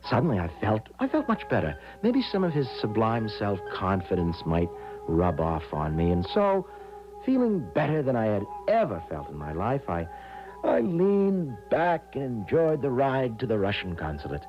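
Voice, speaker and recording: Timid young man, very animated. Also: on the low side, normal speed. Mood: earnest recounting. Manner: hesitant, earnest, slightly stammering. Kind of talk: 1970s radio drama acting, first-person storytelling. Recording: dull vintage radio recording, music behind.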